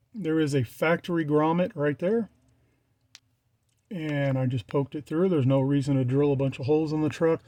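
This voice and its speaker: A man's voice: on the high side, mellow. Casual plainspoken man doing a walkthrough. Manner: plainspoken, informal, pointing things out. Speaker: vlogger showing his truck wiring project.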